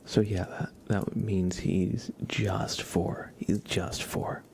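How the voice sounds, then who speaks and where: A man hosting an ASMR livestream, chatting casually and gently with viewers in a soft, breathy, mostly whispered voice. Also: low-pitched, level tone, background noise. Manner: soft-spoken, gentle, unhurried.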